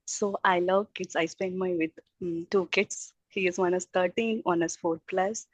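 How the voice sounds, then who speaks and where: A middle-aged woman with an Indian accent introduces herself informally, rambling and effusive in halting English. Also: fairly low pitch, steady tone, no echo. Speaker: childcare teacher introducing herself. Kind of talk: informal self-introduction at a group meeting. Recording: dull, muffled audio.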